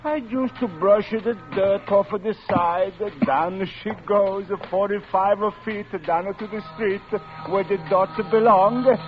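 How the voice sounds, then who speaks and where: An actor playing a simple, chatty railway platform sweeper with a foreign accent and broken English, in an old radio drama. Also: male voice, sits high, fairly even intonation, measured pace, hardly any pauses, over music. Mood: friendly, chatty. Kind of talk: 1940s radio drama character acting. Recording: muffled, noisy vintage radio recording.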